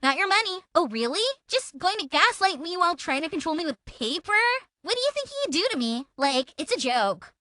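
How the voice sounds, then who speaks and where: A woman's voice: very high-pitched, big pitch swings, a little fast, few pauses, bright, forceful tone, dry recording, exaggerated voice. A woman playing a belligerent, self-important woman in a feud, taunting and accusatory, as a theatrical dramatic reading. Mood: taunting, haughty. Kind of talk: dramatic reading of a text exchange.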